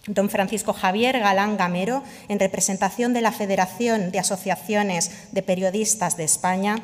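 A woman gives a formal, ceremonial reading of an official document. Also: medium pitch. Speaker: official reading the award jury's minutes. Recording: long echoing reverb of large hall.

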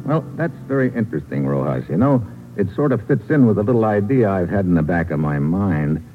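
A low-pitched American man as a tough, calculating secret agent in a classic radio thriller. He talks in clipped, slangy, hardboiled lines, cool and in control. The sound is a narrow, boxy old broadcast with music behind it. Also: sing-song, a little fast. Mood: cool, wry. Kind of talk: old-time radio drama acting with first-person hardboiled narration.

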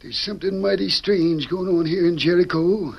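A man's voice: high-pitched, moderate pace, creaky, slightly rough, heavily acted. An actor playing an indignant old storekeeper in a radio western, a man with a crotchety, folksy American Western accent. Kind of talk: 1940s radio western acting. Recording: dull vintage radio recording.

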